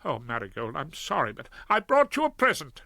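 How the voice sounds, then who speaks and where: A man's voice: on the high side, wildly swooping pitch, a little fast, creaky, bright, forceful tone, no echo, clean studio sound, pitched above the performer's own voice, full character voice. A man voicing a plaintive, self-pitying older judge, whining about his troubles.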